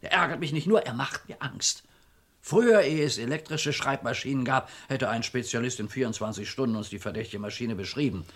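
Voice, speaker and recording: A gruff, exasperated police commissioner of a radio crime drama, acted by a man. He speaks at a middling pitch, with sardonic grumbling and a wary edge. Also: fairly quick, fairly bright tone, natural voice. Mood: irritated, uneasy.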